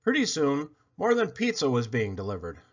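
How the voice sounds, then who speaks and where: A man narrating a children's storybook aloud in an expressive read-aloud style. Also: mid-range voice, moderate pace, highs cut off. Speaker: storybook reader.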